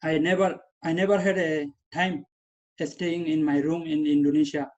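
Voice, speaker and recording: Young guy, english not his first language, flat level delivery, keeps restarting, kinda muffled lo-fi sound. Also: on the high side. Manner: halting, repeats and restarts phrases. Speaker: graduating student telling his story. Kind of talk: personal testimony. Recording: dull lo-fi sound.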